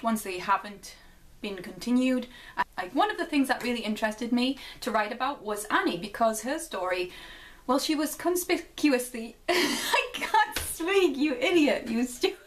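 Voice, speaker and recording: A young woman with a Geordie accent chatting casually on her vlog and then reading her own short story aloud, laughing at herself now and then. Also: fairly high pitch. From North East England. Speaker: vlogger.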